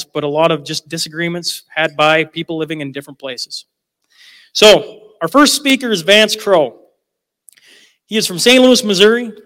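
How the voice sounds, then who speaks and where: A man's voice: a little high, a bit bright. A man moderating a live panel in a large hall, chatty and joking as he introduces the night's topic and speakers. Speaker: event moderator. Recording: long hall reverb.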